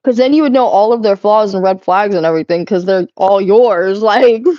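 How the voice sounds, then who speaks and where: A woman's voice: mid-range voice, normal speed, warm tone, no echo. A young adult woman streaming while she cleans, chatting loosely with her viewers, giggling and swearing often. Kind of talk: casual live-stream chat while cleaning. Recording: slightly muffled, dry sound.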